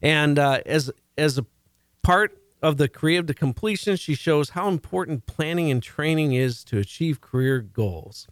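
Chatty Chicago-accented radio host. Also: male voice, on the high side, expressive, moderate pace, no room sound, clean studio sound. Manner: chatty and jokey, stumbles and restarts. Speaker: radio talk show host. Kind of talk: casual radio talk show hosting.

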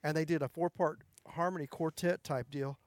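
A man's voice: steady tone, normal speed, no echo. A man, a country singer chatting in an interview and telling folksy stories with frequent chuckles. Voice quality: high tenor voice. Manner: folksy storyteller, chuckles often. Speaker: country music singer and guest. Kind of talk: casual interview chat.

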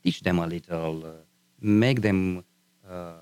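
A man from Romania with a Romanian accent, a guest on a radio talk show, speaking haltingly and searching for words. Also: low voice, darkish tone, smooth, no room sound. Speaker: guest, video producer. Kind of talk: radio interview chat.

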